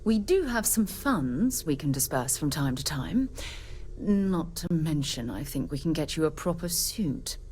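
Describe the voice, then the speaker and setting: An actress playing a seasoned, principled space explorer captain in a video game, speaking in a measured, earnest, authoritative way. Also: female voice, sits low, sing-song, a little mellow, slight background noise. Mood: reassuring, businesslike. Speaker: expedition leader. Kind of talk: video game character dialogue.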